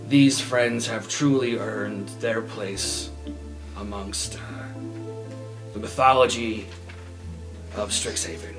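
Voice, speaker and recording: A man plays a humble young student making a speech, slow and halting, with many pauses. The voice sits at a middle pitch. Music plays clearly behind him. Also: low-quality recording. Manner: halting, hesitant, pausing with uh. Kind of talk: improvised tabletop roleplay.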